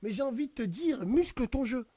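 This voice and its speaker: Animated high-voiced man giving advice. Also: a little fast, breathy edge, no room sound. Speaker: host. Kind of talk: casual debate over a phone call.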